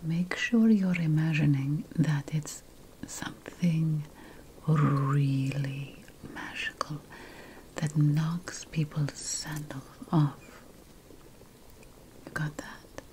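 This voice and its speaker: ASMR witch roleplay: woman, unvoiced breathy whisper, very unhurried, playful potion-master air, faint background noise. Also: sits low, fairly even intonation. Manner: slow, deliberate, pausing between phrases.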